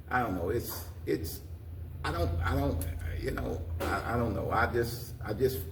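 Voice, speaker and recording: Older man, echoey distant recording. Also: medium pitch. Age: 60s or older. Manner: halting, repeats and restarts phrases, folksy. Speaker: interview guest, community leader. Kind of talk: informal talk-show interview. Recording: roomy echo, distant mic, faint noise.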